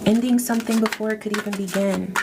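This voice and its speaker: Gravelly-voiced woman reading tarot. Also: mid-range voice, steady tone, quick pace, music in the background. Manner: reassuring, repeats "okay?" often. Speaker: tarot reader. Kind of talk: casual spiritual tarot reading.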